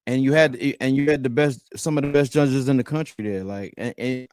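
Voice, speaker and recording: A man's voice: mid-range voice, a little fast, a little mellow, clean tone, no room sound. A man hosting a livestream podcast in casual chat, emphatic and repeating words as he gets going. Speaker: podcast host.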